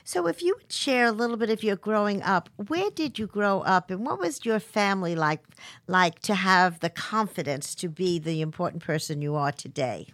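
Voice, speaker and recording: A woman's voice: mid-range voice, expressive, moderate pace, fairly bright tone, no echo. A woman hosting a podcast interview, introducing a guest and asking questions with enthusiasm. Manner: enthusiastic, promotional. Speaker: podcast host, publisher.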